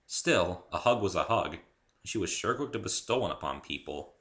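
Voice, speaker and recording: A man's voice: sits low, expressive, normal speed, fairly bright tone. A man reading his own story aloud as a dramatic first-person narration.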